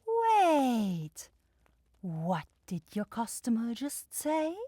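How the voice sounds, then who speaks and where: A woman's voice: a little high, huge pitch swings, drawn-out words, dark tone, dry recording, exaggerated voice. A woman playing an anxious, polite demon shopkeeper sister in a fantasy ASMR audio roleplay, speaking earnestly and placatingly.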